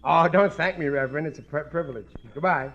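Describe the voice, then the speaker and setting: A man acts a working-class family father in a vintage radio comedy. He blusters eagerly in a high-pitched voice, tripping over words and mangling grammar. He sounds thoroughly sure of himself and easily fooled, on an old 1950 broadcast recording. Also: quick pace, muffled recording, very noisy, natural voice. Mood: hearty, self-important. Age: middle-aged. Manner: blustery, stammering, ungrammatical. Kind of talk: 1950 radio sitcom acting.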